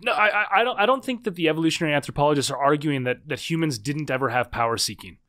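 A man's voice: fairly high pitch, expressive, racing, bright, forceful tone. A man in his thirties talking as a podcast guest, thoughtful and hedging, with frequent fillers and restarts. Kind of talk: conversational interview.

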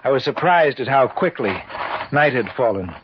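An actor playing an uneasy man telling his eerie story, measured and suspenseful, in 1940s radio style. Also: medium pitch, lively intonation, close to an everyday voice. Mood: grave, suspenseful. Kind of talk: 1940s radio acting, dramatic narration. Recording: muffled, noisy 1940s radio recording.

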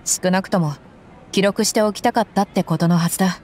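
A woman's voice: medium pitch, fairly quick, darkish tone, a little hiss or hum, natural voice. An actress playing a tough young female desert mercenary in an anime game, with blunt, tomboyish speech. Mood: steady, reassuring. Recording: background music.

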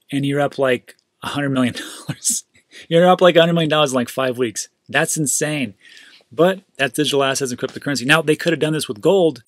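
A man's voice: on the high side, no room sound. A man hosting a casual crypto news show, chatting upbeat and loose about market prices, often repeating himself for emphasis. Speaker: crypto news channel host.

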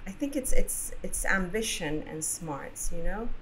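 A woman's voice: fairly low pitch, expressive, unhurried, a little hiss or hum, poor audio. A middle-aged woman from Iraq raised in the UK, speaking as an effusive podcast guest in a studio. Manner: warm, effusive, praises family with repeated superlatives. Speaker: guest, business executive. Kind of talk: conversational podcast interview. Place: podcast studio with framed posters. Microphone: studio mic on boom arm.

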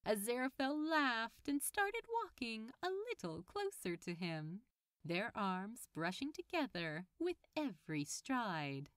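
Audiobook-style narrator: woman, leisurely and expressive, recorded dry and close. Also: on the high side, takes it slowly, clean tone, no echo. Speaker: fan fiction narrator. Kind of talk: expressive scripted story narration.

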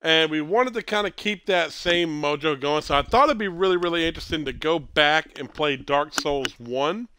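A man in his thirties hosting a casual gameplay stream, stuffy with a cold and clearing his throat, rambling and correcting himself as he talks. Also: fairly high pitch, normal speed, hardly any pauses, bright, forceful tone, no echo. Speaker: streamer host.

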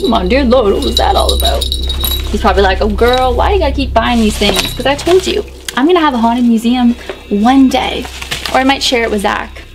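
A young woman in her twenties vlogging a chatty unboxing in a small bedroom, excitable and a bit hoarse as her voice fades. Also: a little high, normal speed, background noise. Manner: excitable, chatty, gasps and laughs a lot. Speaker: YouTuber. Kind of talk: casual unboxing vlog. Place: small bedroom decorated with dolls.

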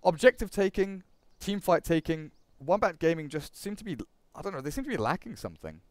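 Young English caster, bright clean voice, chatty and loose. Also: male voice, on the high side, sing-song, dry recording. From England. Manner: chatty, quick asides, repeats words. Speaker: esports commentator. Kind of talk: esports draft commentary.

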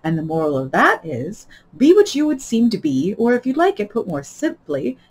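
A woman's voice: mid-range voice, slightly rough. A woman voicing a pompous older noblewoman in a storybook, gushingly agreeable, with emphatic, sententious pronouncements. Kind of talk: storybook character voice.

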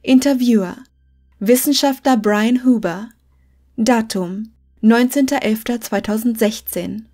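A woman's voice: a little high, a little slow, warm tone. A woman narrating a scripted fictional case file in a formal, report-like manner.

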